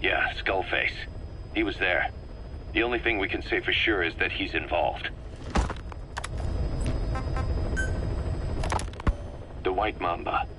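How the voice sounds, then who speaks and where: An actor playing a serious military second-in-command in a video game, giving measured, analytical briefings. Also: male voice, sits low, background noise, close to an everyday voice. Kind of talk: video game voice acting, dialogue.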